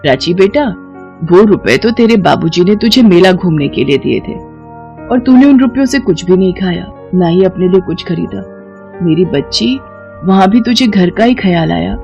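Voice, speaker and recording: A woman's voice: lowish voice, animated, normal speed, gravelly, a little mellow. Woman as a tender, earnest village mother. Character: caring, worried poor village mother. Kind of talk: animated moral story voice acting. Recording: music behind the voice.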